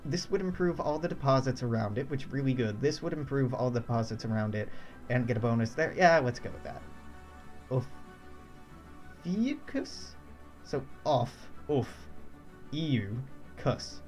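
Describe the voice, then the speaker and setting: A man's voice: medium pitch, animated, normal speed, long pauses, a little mellow. Young adult male streamer, mid-range voice, laid-back and chuckly, faint music underneath. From United States. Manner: thinks aloud, chuckles often. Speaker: game streamer. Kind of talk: casual let's-play commentary.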